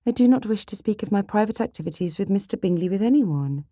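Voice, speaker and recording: A woman voicing a proper, gentle, easily shocked young gentlewoman in a period audiobook, prim and polite in manner. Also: medium pitch, animated, fast, no echo, clean studio sound, voice pushed up. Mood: prim, indignant.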